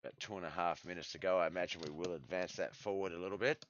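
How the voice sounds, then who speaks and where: An Australian man doing relaxed play-by-play commentary on a live-stream sim race, reading out lap times, with a hoarse, congested voice. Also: sits low, racing, dull audio, a little hiss or hum. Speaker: sim racing league commentator.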